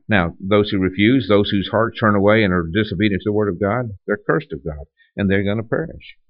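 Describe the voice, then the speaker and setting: A man's voice: lowish voice, no echo. A man preaching a sermon in a conversational teaching style, often restarting his phrases. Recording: dull lo-fi dry recording.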